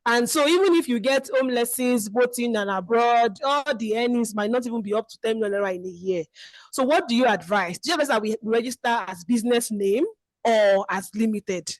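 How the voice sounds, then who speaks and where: A woman's voice: fairly high pitch, a little fast, no room sound. A woman asking an earnest question on a mic, talking her situation through at length. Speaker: webinar host asking a question. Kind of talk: asking a question in an online session. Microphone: separate mic.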